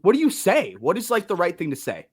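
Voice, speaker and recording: A young man talking as a podcast guest in a relaxed, profane way. His voice swings widely in pitch and sits slightly high for a man. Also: fast. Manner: animated, laid-back, swears casually. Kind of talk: casual chat.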